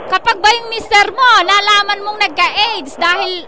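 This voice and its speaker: High-pitched Filipino woman radio host, playfully scolding. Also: moderate pace, heavy background noise. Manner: animated, bantering, playfully scolding. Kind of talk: casual radio advice banter.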